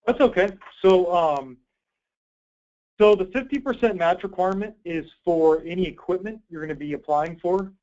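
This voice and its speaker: A man's voice: high-pitched, normal speed, a little mellow. A man hosting a conference call in an informal, obliging way, explaining things and taking questions over a muffled line. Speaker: host, grant program coordinator.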